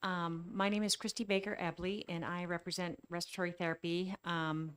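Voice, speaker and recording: A woman respiratory therapist giving public testimony, with a soft voice and a hesitant, apologetic manner. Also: lowish voice, little pitch movement, a little fast, clear, resonant tone. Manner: hesitant and apologetic, new to the process. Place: legislative committee hearing room. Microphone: table microphone.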